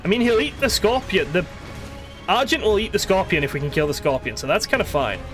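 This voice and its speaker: Guy streaming a game, pretty high voice that jumps all over, excitable n exasperated, swears at stuff, bit of music behind him. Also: a little fast. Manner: excitable, exasperated muttering to self and game creatures. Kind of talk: casual live gaming commentary with mild swearing.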